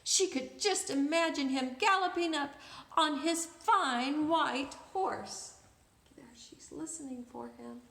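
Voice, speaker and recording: Female storybook reader for kids: high, bright voice, unhurried and expressive, echoey room. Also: vocal fry. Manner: expressive, pauses to ask listeners questions. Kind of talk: animated children's read-aloud.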